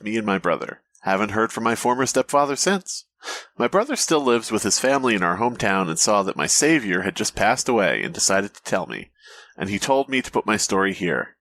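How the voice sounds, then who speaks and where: Male co-host, lowish pitch, lively intonation, wry comedic chat, close dry recording. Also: no room sound. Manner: wry, joking. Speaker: podcast co-host. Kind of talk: casual comedic banter and reading aloud.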